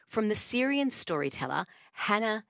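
A woman's voice: mid-range voice, very animated, moderate pace, gravelly, fairly bright tone, no echo. A woman hosting a casual radio chat, upbeat and reading out listener texts in the studio. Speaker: radio host. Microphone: studio microphone.

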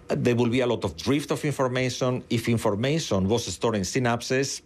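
Middle-aged man, Spanish accent, native Spanish speaker, lowish pitch, opinionated expert, explanatory interview talk. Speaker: interviewed neuroscientist.

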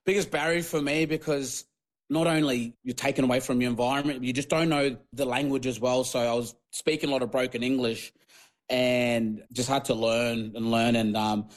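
A man's voice: mid-range voice, fairly even intonation, moderate pace, low-quality recording. Papua New Guinea-born man, rambling. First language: Tok Pisin. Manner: rambling, many fillers and restarts. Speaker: guest, former rugby league player. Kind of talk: casual podcast interview.

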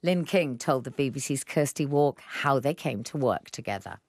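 A woman presenting a radio arts programme, reading a scripted introduction in a clear, measured way. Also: very deep voice, lively intonation, a little mellow, no room sound, studio quality.

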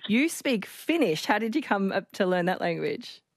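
A woman hosting a radio call-in show, introducing guests, taking calls and reading out listener texts in a friendly, brisk way. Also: medium pitch, very animated, no echo. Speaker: radio host. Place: radio studio. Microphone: studio microphone. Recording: dry studio sound.

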